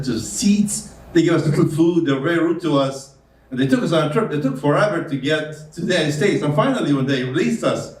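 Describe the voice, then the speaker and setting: Male stand-up comic with a foreign accent, slightly high voice, deadpan and halting, miked in a roomy club. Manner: deadpan storytelling with hesitant fillers. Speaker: stand-up comedian. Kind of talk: stand-up comedy set. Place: comedy club stage. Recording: roomy club sound from a distance. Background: audience laughter.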